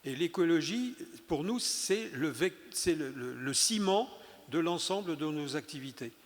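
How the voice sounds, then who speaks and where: A man giving a round-table speech as an elected leader, emphatic, with many restarts and hesitations. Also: fairly high pitch. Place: conference hall. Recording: long hall reverb.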